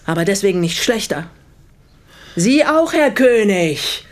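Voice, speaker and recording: An older widow in a radio drama, bent on revenge. She speaks in a medium-pitched voice, formal and resolute, and cuts with bitter sarcasm. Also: big pitch swings, measured pace. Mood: stern, menacing. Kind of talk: German radio play acting.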